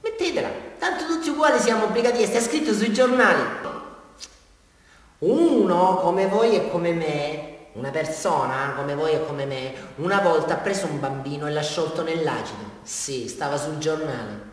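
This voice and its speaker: A man's voice: on the high side, moderate pace, natural voice. A man acting an unhinged small-town murderer with a southern Italian accent, confessing to a police brigadier in a rambling, insistent, taunting way in a theatrical radio drama. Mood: indignant. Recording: echoey hall from far off, faint music.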